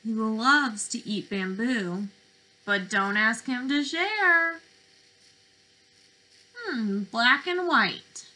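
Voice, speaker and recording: A young woman leads a read-aloud for preschoolers at an unhurried pace. Her voice sits fairly high and has a bright, clear edge. She speaks expressively, like a teacher, posing questions and leaving pauses for little listeners. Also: animated, rough recording. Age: young adult. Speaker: speech-language pathologist presenting to preschoolers. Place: bedroom, indoors.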